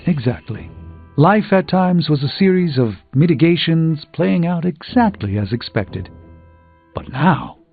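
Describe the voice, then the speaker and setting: A man's voice: on the high side, expressive, unhurried, vocal fry. A man playing a proud, erudite sorcerer superhero in a video game, speaking formally with dry wit. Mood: wry, candid. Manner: formal, wordy, dryly witty. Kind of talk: video game character dialogue. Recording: muffled game capture with music behind.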